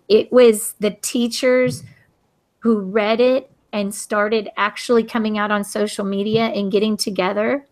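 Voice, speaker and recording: A woman educator hosting a video call discussion, speaking earnestly and emphatically, often restarting mid-sentence. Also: mid-range voice. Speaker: educator, hangout host and author.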